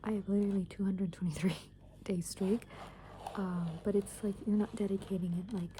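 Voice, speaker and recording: Young woman doing soft breathy asmr whisper rambling, kinda hesitant, noticeable background noise. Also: medium pitch, fairly even intonation, moderate pace. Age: young adult. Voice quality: breathy, mostly unvoiced whisper. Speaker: ASMR video creator.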